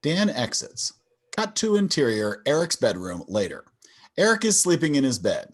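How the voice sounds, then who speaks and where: A man's voice: mid-range voice, very animated, moderate pace. A man narrating stage directions for a scripted sitcom table read over a video call.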